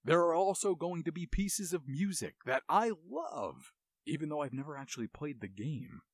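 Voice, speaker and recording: A man's voice: a little high, big pitch swings, normal speed, no echo, studio quality. A man hosting a game music show with enthusiastic, analytical commentary and dramatic storytelling.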